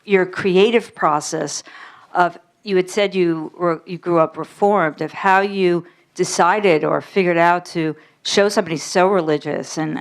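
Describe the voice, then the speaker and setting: A woman in the audience asking the director a question, appreciative and hesitant, with many "um"s. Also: on the low side. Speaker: audience member. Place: screening auditorium with audience.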